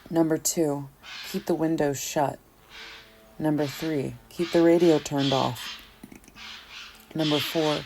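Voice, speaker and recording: A low, soft-edged voice a lonely, guilt-ridden woman. She narrates an audio horror drama like a confession, somber and measured, with fear underneath. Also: a little hiss or hum. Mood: grave reciting.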